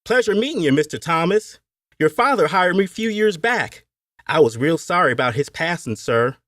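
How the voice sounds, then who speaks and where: A man's voice: on the high side, lively intonation, normal speed, a bit bright, dry recording, studio quality, pitched above the performer's own voice. A man voicing a crude, deferential young plantation overseer with a folksy Appalachian accent, in an audiobook story. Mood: eager, deferential. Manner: folksy, coarse, ungrammatical speech.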